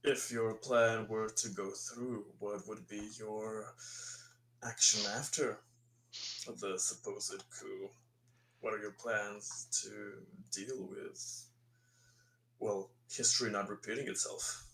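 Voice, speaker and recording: Male roleplayer, low voice, measured and deliberate, formal phrasing, faint hum behind him. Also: steady tone, gravelly, a bit bright, low-quality recording. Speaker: tabletop game player. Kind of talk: in-character questioning, conversational.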